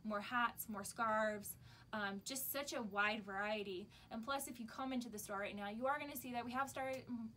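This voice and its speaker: A woman's voice: a little high, moderate pace, pushed and bright. An American woman in her thirties making a casual video announcement to customers as a shop owner, recorded indoors a few steps from the camera. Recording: background noise, camera from a distance.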